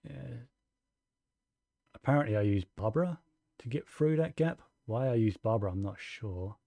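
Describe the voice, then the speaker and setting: A man's voice: fairly low pitch, expressive, moderate pace, dark tone, no echo. A man giving casual live gameplay commentary, muttering and thinking aloud as he goes. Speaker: game streamer. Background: faint game music.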